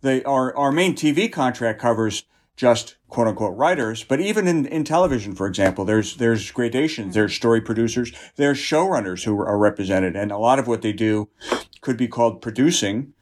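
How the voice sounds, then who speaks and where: A man giving conversational interview answers as a guild representative, haltingly repeating words as he explains. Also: medium pitch, expressive, darkish tone, a little hiss or hum.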